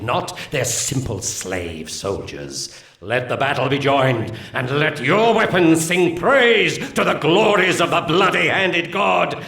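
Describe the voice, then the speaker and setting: Give the voice of a solemn alien elf commander, pitched on the high side for a male. He declaims in lofty, archaic phrases, rallying warriors with holy zeal in a strategy game briefing. The sound carries a roomy echo. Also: vocal fry, pushed and bright, heavily acted. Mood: grim, then exultant. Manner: grandiose, archaic, declamatory oratory. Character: solemn, zealous alien elf war leader rallying his troops. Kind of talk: scripted dramatic game mission briefing.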